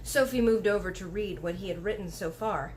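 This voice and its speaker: A woman's voice: medium pitch, a little fast, darkish tone, a little hiss or hum, rough recording. A woman reading a children's book aloud as narrator. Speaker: story reader.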